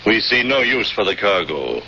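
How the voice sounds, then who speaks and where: An actor playing a cold, imperious alien censor who issues curt, dismissive orders. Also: male voice, lowish voice, big pitch swings, normal speed, bright, forceful tone, a little hiss or hum. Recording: muffled old radio broadcast.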